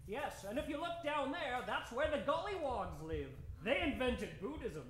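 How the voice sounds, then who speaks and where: Actor as a theatrical gentleman adventurer, swooping delivery. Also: very high voice, sing-song, moderate pace, exaggerated voice. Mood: confident. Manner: theatrical, exclamatory, old-fashioned diction. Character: eager, fearless gentleman adventurer doctor. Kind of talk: old-time radio adventure acting. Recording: roomy stage recording, music underneath.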